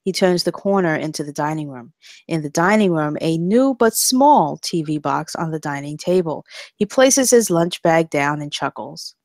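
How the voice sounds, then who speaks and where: A woman with a notably low voice reads screenplay action lines. It is scripted narration for a table read. The sound is dry, with little room around it. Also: animated, moderate pace, a little mellow, dry recording. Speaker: stage directions narrator.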